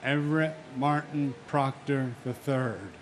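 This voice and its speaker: Solemn man, unhurried reading, firm and insistent in his remarks at a memorial ceremony. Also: medium pitch, fairly even intonation, a little hiss or hum. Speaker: victim's family member reading names.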